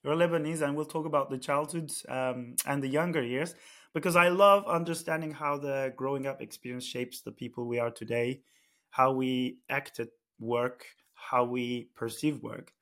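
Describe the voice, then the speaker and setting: A man's voice: medium pitch, fairly even intonation, normal speed, a bit bright. A man hosting a podcast interview, upbeat and chatty, asking personal questions. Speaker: podcast host.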